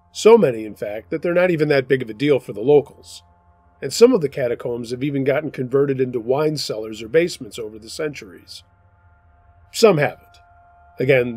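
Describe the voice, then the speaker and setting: A man hosting a show where he reads submitted true scary stories aloud, in steady, measured first-person narration. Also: mid-range voice, no room sound. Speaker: storyteller host.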